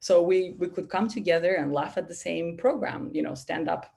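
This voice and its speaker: Lowish-voiced woman on a video call webinar, animated, lots of restarts and "you know". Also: moderate pace, vocal fry. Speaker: webinar speaker. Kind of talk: webinar talk.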